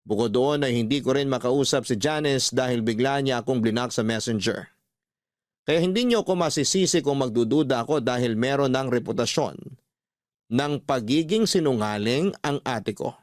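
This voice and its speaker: A man with a Filipino accent narrating a first-person radio story in a calm, measured way. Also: medium pitch, no room sound, studio quality.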